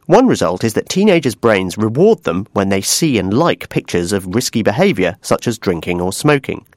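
A man hosting a podcast, presenting scripted links and putting questions in a polished magazine-show style. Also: lowish voice. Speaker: podcast host. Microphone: close studio microphone.

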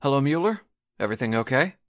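A man playing a brisk, genial radio station host in a 1940s-style radio drama. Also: medium pitch, very animated, a bit bright, slight background noise. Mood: genial, businesslike. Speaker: actor in an amateur radio drama re-creation.

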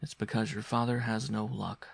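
Man voicing a bitter, disappointed mother, slightly raised pitch. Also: normal speed, vocal fry, breathy edge, dry recording. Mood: measured, bitter. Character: bitter, disappointed mother speaking to her child. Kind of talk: literary audiobook character voice.

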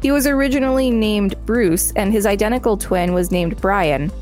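A woman's voice: medium pitch, normal speed, darkish tone, faint music behind. A woman podcast co-host reading an encyclopedia article aloud and adding commentary.

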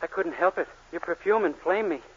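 High-voiced naive young American soda jerk, played by a man. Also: natural voice. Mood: innocent, earnest. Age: young adult. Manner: earnest, guileless, halting when shy. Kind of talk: 1940s radio sitcom acting. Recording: muffled, noisy 1940s radio recording.

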